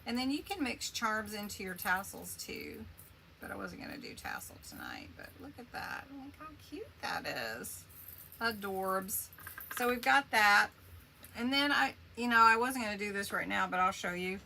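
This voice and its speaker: Chatty woman doing a craft livestream, slow and rambly, bit of vocal fry, noisy background hum. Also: medium pitch, sing-song, sharp tone. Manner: chatty, rambling, answers viewer comments. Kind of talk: casual craft demo livestream.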